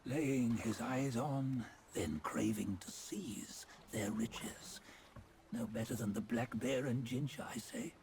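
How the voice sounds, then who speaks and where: An actor voicing a stern, judgmental sage in a video game cutscene, speaking in measured, archaic, sententious phrases. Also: male voice, mid-range voice, vocal fry, a little mellow, a little hiss or hum. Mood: disdainful. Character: stern, judgmental sage narrating old sins.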